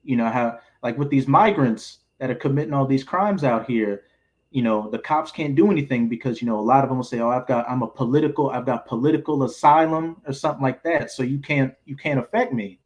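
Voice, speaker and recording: A man's voice: medium pitch, a little fast, a little mellow. A man leading an informal group Bible study, explaining scripture in colloquial, streetwise language.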